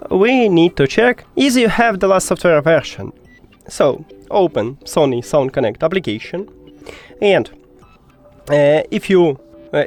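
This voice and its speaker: Higher-pitched man giving a tech tutorial over music. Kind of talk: step-by-step how-to narration.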